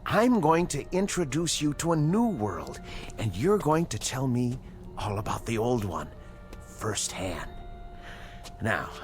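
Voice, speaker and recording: An actor playing an earnest, proud scientist and inventor in a classic television drama, with measured, precise, educated diction. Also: male voice, mid-range voice, big pitch swings, soft background music. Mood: proud, explaining.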